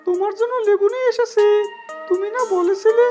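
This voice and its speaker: A woman's voice: extremely high pitch, brisk. A woman voicing a sly, greedy crow husband in a children's cartoon. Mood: sly, pleased. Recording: music behind the voice.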